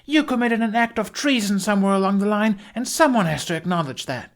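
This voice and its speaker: A young Viking warrior woman acted by a male audiobook narrator speaks high and hard. She is indignant and accusing, pushing her words out loudly with sharply swinging pitch. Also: expressive, fairly quick. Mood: furious, challenging. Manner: indignant, forceful, shouting accusations.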